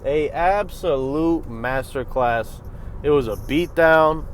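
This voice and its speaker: A man hosting a reaction video, giving casual, profane commentary on a fight, jumping from loud bursts to low mutters. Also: takes it slowly, slight background noise.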